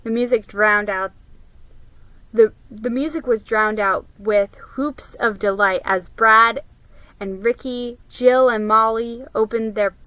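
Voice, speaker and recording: A woman's voice: on the high side, fairly even intonation, slow, sharp tone, no echo, low-quality recording. A woman giving a dramatic reading of a children's story, as a storybook reader.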